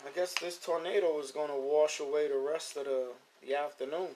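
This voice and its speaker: A man's voice: fairly high pitch, fairly even intonation, brisk, darkish tone, a little hiss or hum. Older man talking pigeons, emphatic and loose. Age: 50s. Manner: loose, repetitive, emphatic street-casual talk. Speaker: pigeon fancier hosting a live stream. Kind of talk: casual live-stream talk. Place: at home near his pigeon loft.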